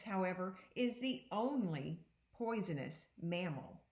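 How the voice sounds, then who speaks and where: A woman teacher giving an interactive read-aloud for young children, reading deliberately and pausing to put questions to her listeners. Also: mid-range voice, echoey room. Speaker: second grade teacher.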